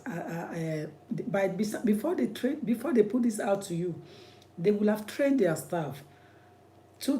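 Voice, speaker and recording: Man, Nigerian accent, immigration lawyer, emphatic preacherly commentary, warm dark voice. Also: high-pitched, slight background noise. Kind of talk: impassioned commentary.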